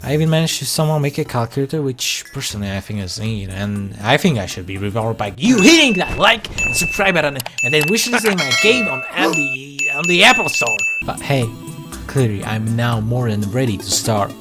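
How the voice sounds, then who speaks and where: Animated man narrating a sarcastic comedic video. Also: mid-range voice, fairly quick, loud music behind the voice. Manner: sarcastic, self-deprecating, theatrical. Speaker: YouTube creator and host.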